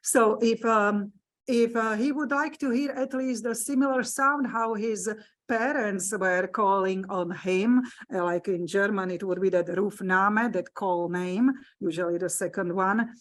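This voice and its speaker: A woman with a Slovak accent lectures at an unhurried, measured pace, her voice sitting in a middle register. She pauses often on fillers and phrases things the way a non-native English speaker does, explaining patiently to a live room. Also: mid-range voice, fairly even intonation. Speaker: genealogy presenter. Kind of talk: hybrid library lecture. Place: meeting room with live audience.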